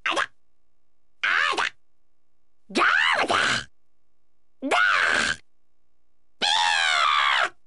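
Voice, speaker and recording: Casting: boisterous baby boy demon, shrill babble, very high and piercingly bright, anime game. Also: wildly swooping pitch, extremely slow, pauses often, slightly rough, heavily acted.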